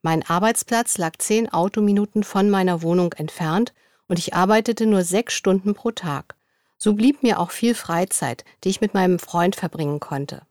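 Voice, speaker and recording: A young woman in a studio, reading interview answers in a scripted dialogue at an even, clear pace. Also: medium pitch, normal speed, dry recording.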